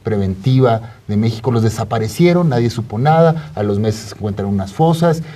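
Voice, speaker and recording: A man's voice: on the low side, expressive, brisk, dark tone. A man with a Mexican accent co-hosting a studio program and giving conversational news commentary. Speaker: co-host commentator. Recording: voice distant from the microphone.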